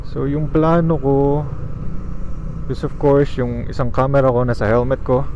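A young man with a Filipino accent, chatting casually as a motovlogger while riding his motorcycle outdoors, relaxed and chatty. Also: mid-range voice, normal speed, dull audio, a little hiss or hum. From Philippines. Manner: relaxed, chatty, chuckles now and then. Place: outdoors on a motorcycle, city streets at dusk. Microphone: phone used as a sound recorder.

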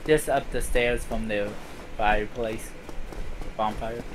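Man, medium pitch, informal gamer chat, co-op partner, clear background noise. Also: steady tone, slow pace. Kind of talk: casual gaming chat. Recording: clearly noisy home game recording.

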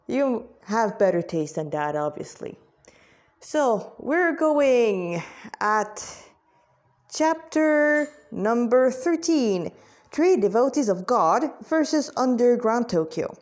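A woman's voice: on the high side, darkish tone. A woman reading their fan fiction aloud as the author, with chatty asides, often stumbling and rereading phrases. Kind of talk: informal read-aloud narration with chatty asides.

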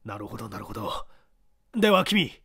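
A male narrator voicing an excitable middle-aged private detective who talks in an old-fashioned, lordly way. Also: lowish voice, moderate pace, vocal fry, slightly rough, pitched below the performer's own voice, full character voice. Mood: probing. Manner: old-fashioned lordly speech, flustered and effusive.

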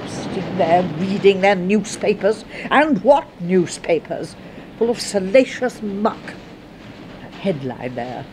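A woman's voice: medium pitch, dark tone, slight background noise, rough recording. An actress playing a prim, self-righteous British secretary in a 1970s radio drama, precise and prissy in her speech. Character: prim, bitter, self-righteous spinster secretary, seething with disgust.

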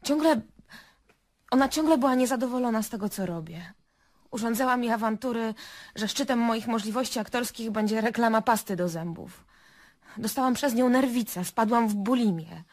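An actress playing a nervous, bitter murder suspect in a radio drama, speaking in a halting, defensive and emotional way. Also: female voice, a little high. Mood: bitter, confessional. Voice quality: husky, breathy. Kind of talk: Polish radio drama acting.

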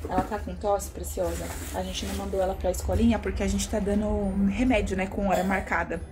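A woman's voice: mid-range voice, little pitch movement, fairly quick. A young woman with a Brazilian accent chatting casually to the camera as a vlogger, addressing viewers with pet names. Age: young adult. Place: small rooms at home, indoors. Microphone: phone at arm's length. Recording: noisy handheld home recording.

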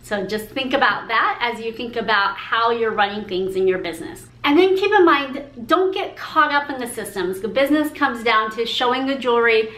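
A woman in her forties with a fairly high voice gives upbeat, encouraging advice to the camera. She talks with her hands, and the room around her rings with echo. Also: animated, moderate pace, few pauses, fairly bright tone. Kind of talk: speaking to camera, sharing business tips. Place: room with a pink painted brick wall.

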